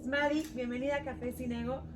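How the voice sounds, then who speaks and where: A woman's voice: sits high, steady tone, brisk, a crowd in the background. A woman hosting an interview who gushes with praise and often cuts in. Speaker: host. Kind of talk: enthusiastic interviewing. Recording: noisy background.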